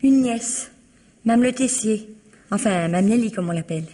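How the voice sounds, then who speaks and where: An actress playing a cheeky, chatty twenty-two-year-old housemaid, defensive and plain-spoken, in an old French radio mystery. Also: female voice, medium pitch, moderate pace, dark tone, close to an everyday voice. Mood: frank, gossipy. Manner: colloquial, chatty, naive frankness.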